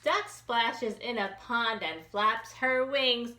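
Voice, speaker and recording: A woman children's librarian reading a picture book aloud for young children in an expressive, sing-song questioning way. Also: fairly high pitch, takes it slowly, echoey room, very noisy. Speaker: children's librarian hosting storytime.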